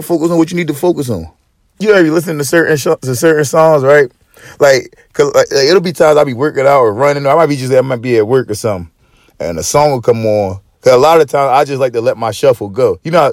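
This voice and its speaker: A man hosting a podcast in casual chat, with a slightly raspy voice and loose, profane, joking rants. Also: no room sound, clean studio sound. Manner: profane, joking rants with big pitch swings. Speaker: podcast host.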